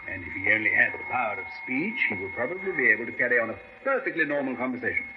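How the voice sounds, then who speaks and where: A man plays a doctor in an old radio horror drama, proud of his experiment. His pitch moves in animated swings as he coaxes and reassures with precise assurance. The recording is dull, with clear noise behind it. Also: racing, close to an everyday voice. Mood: assured, pleased.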